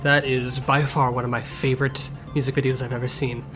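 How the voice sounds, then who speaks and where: A man's voice: medium pitch, little pitch movement, fairly quick, lightly acted. A man voicing a grumpy, sarcastic blind medicine cat in a cartoon fan Q&A, with dry, blunt delivery. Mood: sincere, approving. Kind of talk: improvised-sounding voice-acted fan Q&A. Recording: music playing behind the voice.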